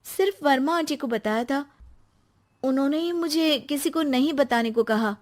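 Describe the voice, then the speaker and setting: A woman voicing an emotional young wife in a cartoon story, speaking in a pleading way that takes offense quickly. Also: high-pitched, darkish tone, voice pushed up, lightly acted. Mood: worried.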